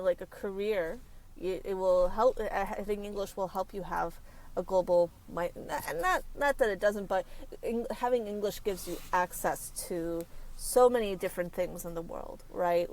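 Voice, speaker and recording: A woman's voice: lowish voice, darkish tone, low-quality recording. Chatty American woman, lots of fillers. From United States. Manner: rambling, many fillers and restarts. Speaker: career consultant guest. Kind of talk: casual interview chat.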